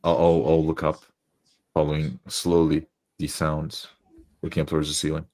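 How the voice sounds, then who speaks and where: A man's voice: very deep voice, monotone, moderate pace, darkish tone. A man playing a tabletop roleplay game, describing his character's actions in the first person.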